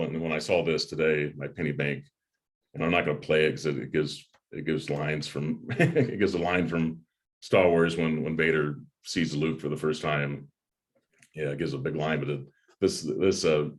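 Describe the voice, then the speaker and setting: A man hosting a movie review chat in a casual, halting way, often repeating his words. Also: extremely low pitch. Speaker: host of a movie review channel. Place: home room with a desk, on a video call.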